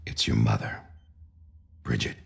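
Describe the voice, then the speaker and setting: An actor playing a stern, weary government director in a video game, with a gravelly, husky voice and a grave, measured delivery. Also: male voice, natural voice. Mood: grave, wry. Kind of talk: cinematic video game acting.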